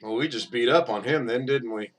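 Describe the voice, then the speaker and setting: A man's voice: medium pitch, a little fast. A man hosting a casual chat on a video call, chatty and joking. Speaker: show host.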